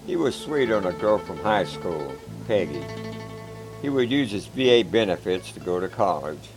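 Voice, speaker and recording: A man with a very low voice tells a first-person story in a calm, measured, plain-spoken way. Music plays clearly underneath the narration. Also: poor audio. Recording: background music.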